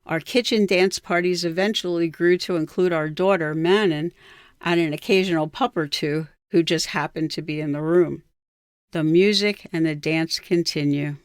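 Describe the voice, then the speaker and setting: Older woman storyteller, clear and dry. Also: on the low side, moderate pace, no echo. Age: 60s or older. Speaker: writer reading own memoir. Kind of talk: scripted personal narration, storytelling.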